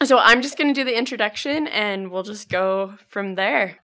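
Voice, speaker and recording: A woman's voice: medium pitch, normal speed, bright, forceful tone. A woman hosting a podcast, interviewing in an upbeat, bubbly, friendly way. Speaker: podcast host. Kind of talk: casual interview.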